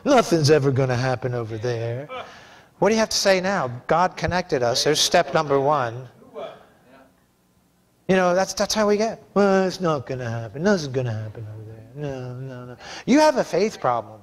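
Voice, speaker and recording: A man's voice: mid-range voice, expressive, moderate pace. A middle-aged man preaching a sermon in a church as pastor, speaking in an emphatic, folksy, conversational way. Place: church sanctuary, preaching from a pulpit.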